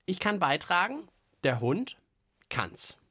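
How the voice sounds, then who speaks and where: A man's voice: sits high, sing-song, takes it slowly, pushed and bright, husky. A man co-hosting a children's podcast in casual, playful banter, often exclaiming. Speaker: podcast co-host.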